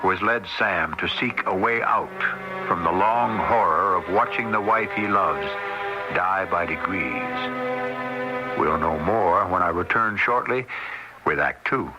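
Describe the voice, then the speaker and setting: A man hosting and narrating a radio drama in a suspenseful, theatrical, deliberate way. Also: low-pitched, very animated, loud music behind the voice. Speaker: host and narrator. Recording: muffled old radio recording.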